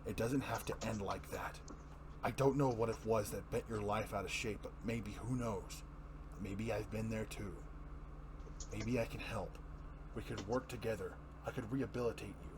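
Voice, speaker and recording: Man acting a grim comic-book hero, low hushed voice, solemn, pleading, noisy home recording. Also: fairly even intonation, natural voice. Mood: grave, pleading. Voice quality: deep, hushed. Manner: slow, solemn, restrained pleading. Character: grim, earnest, weary male masked superhero. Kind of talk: dramatic reading of a comic book.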